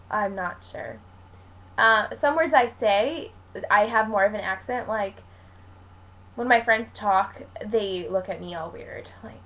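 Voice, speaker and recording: A woman's voice: mid-range voice, a bit bright, low-quality recording. A young woman from Canada talking casually to viewers in a rambling, informal way, like a vlogger answering questions. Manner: rambling, informal, colloquial with frequent "like". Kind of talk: casual chat to camera, Q&A vlog.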